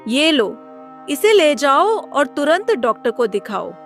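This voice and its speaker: Casting: woman as kind young village wife, storybook drama; higher voice, slow, soothing delivery. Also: very animated, faint music behind, exaggerated voice. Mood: reassuring. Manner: gentle, reassuring. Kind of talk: dramatized Hindi moral story.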